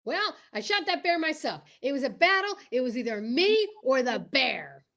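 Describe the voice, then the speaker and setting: A woman puts on a silly, smitten male stooge from an old radio comedy. The delivery is excitable and exclaiming, pitched high with big swings. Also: normal speed, creaky, exaggerated voice. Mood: boastful. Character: Costello, boastful, silly, smitten chubby male comic stooge.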